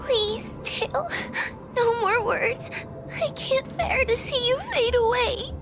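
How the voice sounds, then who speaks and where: A woman's voice: extremely high pitch, takes it slowly. A woman voicing a timid, anxious, lonely young fox girl in a video game, hesitant and plaintive. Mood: despairing. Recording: music under the voice.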